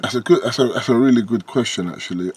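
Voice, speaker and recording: A British man with a deep voice and a lisp, a rapper chatting loosely as an interview guest, with lots of fillers and restarts. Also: quick pace, breathy edge. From United Kingdom. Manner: relaxed, rambling, many fillers and restarts. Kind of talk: casual interview chat.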